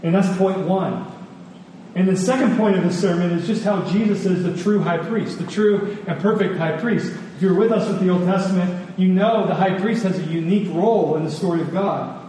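A man preaching a sermon in an earnest, emphatic way, repeating phrases deliberately for weight. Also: high-pitched, mellow. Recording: echoey hall, distant, faint noise.

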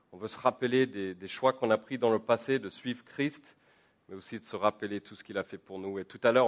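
A man preaching a sermon while he has a head cold. He talks conversationally, with many fillers and repeated words, and his voice climbs higher and louder as he builds to a point. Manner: rises in pitch and volume when building to a point. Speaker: pastor. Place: church.

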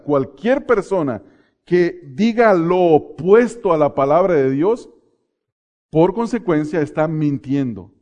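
Mexican man preaching in a lively sermon. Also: fairly high pitch. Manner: asks the congregation questions and answers them himself; slows down to stress key phrases.

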